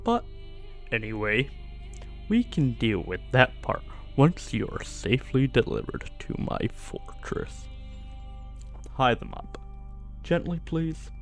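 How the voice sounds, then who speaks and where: Guy doing evil sorcerer asmr captor, silky n condescending, takes his time, soft threats, faint music behind. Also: mid-range voice, heavily acted. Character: menacing evil sorcerer warlord, falsely gentle, gloating captor. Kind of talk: fantasy ASMR roleplay acting.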